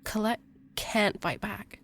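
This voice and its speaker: Defensive young female occultist, mid-pitched actress's performance. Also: steady tone, a bit bright. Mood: earnest insistence. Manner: informal, halting, challenging. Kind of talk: audio roleplay drama.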